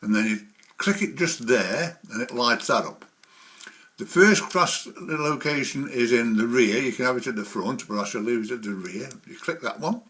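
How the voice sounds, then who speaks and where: A man's voice: medium pitch, normal speed, sharp tone. A man giving a casual, rambling spoken tutorial as a presenter, explaining each step as he goes. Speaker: presenter of a model railway software tutorial.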